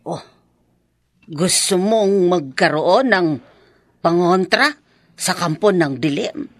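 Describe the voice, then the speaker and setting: An actress playing a stern, devout old woman psychic in a Filipino radio horror drama, speaking in a deliberate, admonishing way. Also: on the low side, no room sound. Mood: stern, solemn. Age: older.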